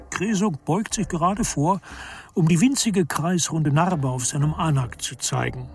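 Higher-pitched male radio drama narrator. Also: darkish tone. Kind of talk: scripted literary radio drama storytelling.

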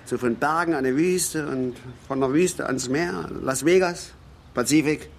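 An actor in a naturalistic German radio drama playing a boastful, dreamy working-class drinker who rambles and insists. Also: male voice, a little high, unhurried, gravelly, slight background noise. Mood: wistful, dreamy. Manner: rambling, insistent, repeats himself.

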